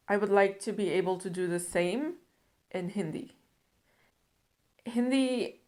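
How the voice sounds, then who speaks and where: A young woman from the Netherlands talking to camera about her language goals, earnest and reflective. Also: medium pitch. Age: young adult. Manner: earnest, reflective, measured with some fillers. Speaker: language learning vlogger. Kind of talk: casual talk to camera.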